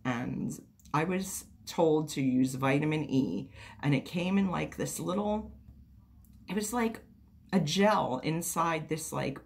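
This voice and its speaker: A woman vlogger chatting casually to camera, her voice raspy and hoarse from a cold, rambling and swearing freely. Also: deep voice.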